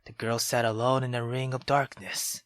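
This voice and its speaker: A man reading a visual novel aloud in a slow, steady storytelling manner, now and then correcting himself. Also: mid-range voice, no echo, studio quality. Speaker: gaming YouTuber. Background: game music.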